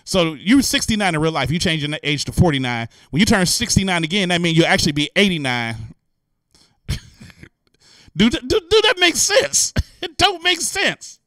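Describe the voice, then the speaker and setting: A man with a forceful, bright voice and slightly high pitch hosts with animated, slangy comic commentary. He sounds joking and incredulous, and is recorded close and dry. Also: normal speed, no room sound. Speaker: host. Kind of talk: comic commentary on news stories.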